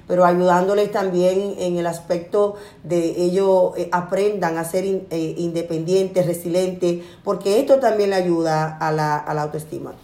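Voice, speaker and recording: Low-voiced woman, earnest, echoey. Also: fairly even intonation, normal speed, few pauses, warm tone. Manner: hesitant, earnest. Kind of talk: radio talk on parenting. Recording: echoey room.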